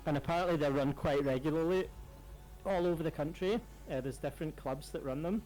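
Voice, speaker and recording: A man's voice: on the high side, a little fast, a little mellow, faint music behind, poor audio. A young man, a streamer, chatting casually and rambling with lots of fillers and repeated yeahs. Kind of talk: casual chat over a game stream.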